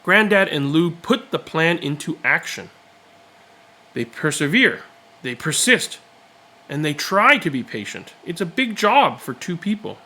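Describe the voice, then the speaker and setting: A man reading a children's picture book aloud, with expressive, deliberate and dramatic phrasing. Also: on the high side. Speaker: read-aloud storyteller.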